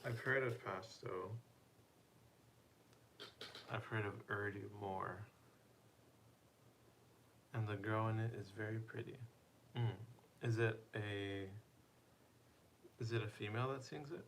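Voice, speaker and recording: A man's voice: lowish voice, steady tone, slow, long pauses, fairly bright tone, a little hiss or hum. Playful young man chatting to a stream. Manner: playful, teasing, asks viewers questions. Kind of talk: casual live-stream chat reading viewer comments.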